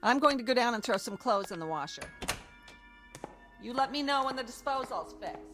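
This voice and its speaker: Scornful nagging wife, high voice, swooping intonation, sharp and exasperated, middle-aged, radio play. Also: female voice, animated, moderate pace, soft background music. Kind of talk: radio drama acting.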